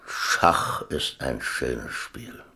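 An old sailor looking back on his life in a radio play. The voice is extremely deep and full of gravelly creak. He talks slowly, musing and wry, in plain colloquial words. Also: sharp tone, heavily acted. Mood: musing, amused. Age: older. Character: old seaman reminiscing about chess, wry, rambling storyteller. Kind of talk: radio play monologue, colloquial first-person storytelling.

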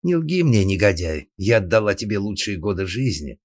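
A man's voice: sits low, animated, normal speed, dry recording, clean studio sound. A man voicing a jealous middle-aged wife in a comic audiobook, shrieking, hissing and scolding. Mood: hurt, indignant. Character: shrill jealous middle-aged wife, furious mistress of the house.

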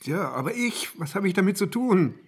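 A man in a German radio drama playing a nervous, evasive husband under interrogation, halting and repeating himself. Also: high voice, very animated, husky, full character voice. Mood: defensive, agitated. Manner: hesitant, halting, flustered, repetitive.

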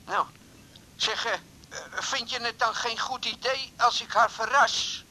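An actor in a radio drama playing an impulsive, sheepish middle-aged suitor who talks haltingly and pleadingly. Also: male voice, very high-pitched, fairly even intonation, bright, forceful tone, full character voice, low-quality recording. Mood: coaxing, eager. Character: impulsive, sheepish older suitor calling on the phone. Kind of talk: 1980s Dutch radio drama acting.

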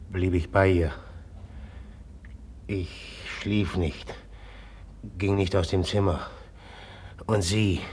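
An actor playing a jealous, passionate young merchant in a 1950s radio drama, speaking slow and heavy, then flaring up. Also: male voice, low voice, full character voice. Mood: brooding. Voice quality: gravelly, husky.

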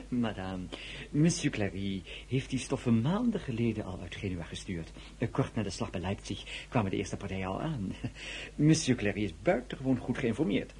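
An actor in a radio drama playing a middle-aged, deferential shop clerk, chatty and hesitant, with flustered stammers. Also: male voice, lowish voice, big pitch swings, normal speed, darkish tone, slight background noise. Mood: evasive, defensive.

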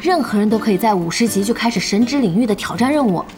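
A woman playing a lively, exasperated young gamer in an audio drama, expressive, emphatic and chatty. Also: medium pitch, quick pace, natural voice. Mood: explaining. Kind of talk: animated Chinese audio drama acting. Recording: music bed under the dialogue.

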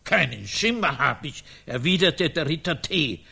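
A man's voice: on the high side, big pitch swings, a little slow, vocal fry, bright, forceful tone, slightly rough, heavily acted. A man playing a medieval monk chronicler who recites in a solemn, archaic, declamatory way in a radio play. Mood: solemn, reciting. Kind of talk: German radio play acting.

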